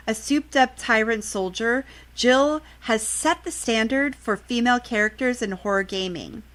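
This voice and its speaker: A woman's voice: mid-range voice, fairly bright tone. A woman presenting a podcast segment, reading a prepared countdown report from a script. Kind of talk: scripted countdown report on horror video games.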